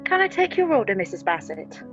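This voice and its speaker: A woman's voice: medium pitch, big pitch swings, fairly quick, lightly acted. A woman playing a young, eager, apologetic waitress in a comic radio play, with a fluttery manner. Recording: dull home recording, music underneath.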